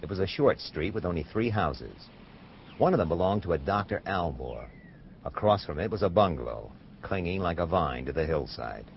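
An actor playing a hard-boiled, wisecracking American detective, laconic and dry, in dialogue and noir narration for a radio drama. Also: male voice, sits low, very animated, normal speed, creaky, dull audio, slight background noise, heavily acted. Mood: wry narration.

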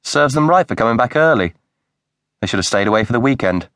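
A male audiobook narrator voicing a blunt, sharp-tongued young woman who is quick to protest. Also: medium pitch, lively intonation, no echo, studio quality. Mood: indignant.

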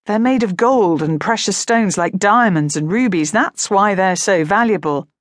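A woman's voice: medium pitch, moderate pace, no room sound, clean studio sound, natural voice. A woman voicing a kind, enthusiastic farm mother in a children's storybook, affectionate in manner. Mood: gently correcting. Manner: bright-spirited, affectionate.

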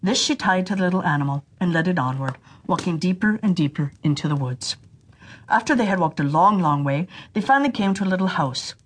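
A woman narrating a fairy tale for children in an expressive, deliberate storytelling manner. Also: on the low side, breathy edge.

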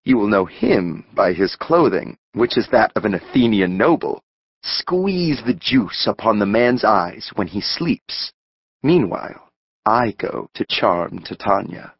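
A man playing a proud, scheming fairy king with stately, imperious, deliberate Shakespearean delivery. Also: fairly low pitch, expressive, breathy edge, no echo, muffled recording, exaggerated voice. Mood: scheming, commanding. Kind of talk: Shakespearean audiobook acting.

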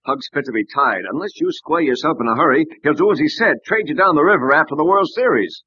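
An actor playing a loyal, anxious American sportswriter, earnestly narrating and acting in an old-time radio drama. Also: male voice, a little high, expressive, fast, sharp tone, slightly rough, full character voice. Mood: alarmed. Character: loyal, worried male sportswriter friend, narrating. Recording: dull, dry old radio recording.

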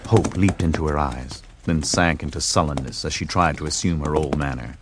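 A man's voice: extremely low pitch, normal speed, very noisy. A man reading scripted, dramatic narration for a western audiobook. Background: music and horse hoofbeats.

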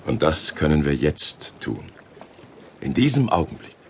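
Fervent apostle in a bible radio play, very deep n gravelly, swings up and down, bit of ambience. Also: male voice, huge pitch swings, slight background noise, heavily acted. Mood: solemn, inviting. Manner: earnest, emphatic, with dramatic pauses.

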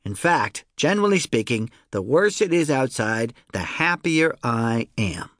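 A man narrating a children's story in the first person, in an expressive, conversational storytelling manner. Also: medium pitch, a little slow, background noise. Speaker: audiobook narrator.

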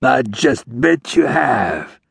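A man's voice: sits high, creaky, slightly rough, dry recording, pitched above the performer's own voice, heavily acted. A man voicing a bitter, defiant, wounded Old West outlaw in a western audiobook, his speech strained, slurred and sneering. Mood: suggestive, spiteful. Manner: drawn-out, sneering, contemptuous. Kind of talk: western audiobook character acting.

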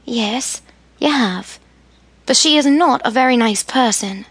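A woman's voice: a little high, a little slow, a bit bright, slightly rough, no echo, heavily acted. Woman voicing an anxious young sister. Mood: earnest.

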